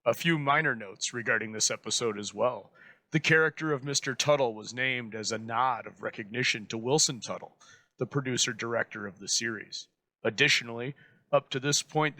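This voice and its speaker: A man hosting with formal, measured, scripted narration. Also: mid-range voice, bright, forceful tone, no echo. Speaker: host introducing radio episodes.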